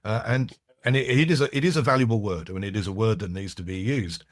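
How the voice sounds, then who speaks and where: A man's voice: lowish voice, fast talker, no echo, clean studio sound. A man whose first language is French, a podcast guest explaining his ideas with frequent restarts and asides. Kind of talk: casual conversational interview.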